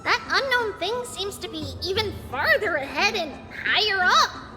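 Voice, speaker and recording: An excitable little girl from a video game. Her very high voice swoops about in a lively way, curious and easily alarmed, with music underneath. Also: moderate pace, in a large hall. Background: background music.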